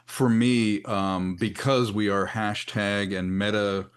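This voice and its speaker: A man with a slightly low voice talks as a panelist. He is conversational and measured, laying out his reasoning as he goes. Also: fairly even intonation, clear, resonant tone. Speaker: panelist, audio drama maker.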